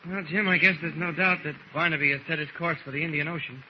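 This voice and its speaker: Actor as mild evasive man, slightly high voice, 1940s radio serial, dull noisy recording. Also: fairly even intonation, fast, natural voice. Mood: calm. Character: mild, evasive man hiding a secret identity.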